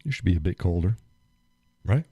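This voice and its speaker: A man hosting a live stream, chatting casually and rambling with fillers and chuckles, phlegmy and clearing his throat. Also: low-pitched, lively intonation, moderate pace, mellow, dry recording, lo-fi sound. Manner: rambling, chuckling, thinks aloud with many fillers. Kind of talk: casual chat reading viewer comments.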